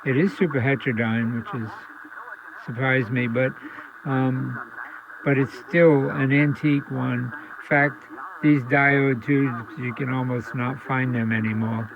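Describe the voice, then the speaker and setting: A man giving a casual, halting demonstration as a repair hobbyist, thinking aloud as he goes. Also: medium pitch, gravelly, crowd noise. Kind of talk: casual demo narration. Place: indoors, basement. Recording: dull audio with steady background noise.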